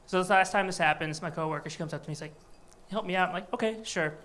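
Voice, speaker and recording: A man from Michigan working a comedy club crowd, his voice pitched high with a bright, forward edge. He talks in a loose, wry, conversational way into a handheld mic, pitching jokes straight at the room. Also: quick pace. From Michigan, USA. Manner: wry, chatty crowd banter. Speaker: stand-up comedian. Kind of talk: live stand-up set.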